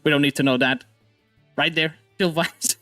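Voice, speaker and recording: A man's voice: on the high side, fairly even intonation, bright, forceful tone, no echo, faint music behind. A man hosting a live gaming stream, goofy and upbeat, joking with his guest and explaining how to join a game. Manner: goofy, upbeat, fast-talking. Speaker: stream host. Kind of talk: casual gaming stream chat.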